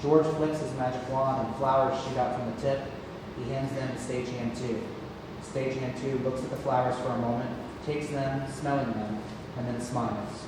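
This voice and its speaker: A man's voice: medium pitch, steady tone, moderate pace, noisy background. Guy reading out stage directions, scripted narration, far from the mic in big reverby hall. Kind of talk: scripted narration at a play read-through. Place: community theatre, large indoor room.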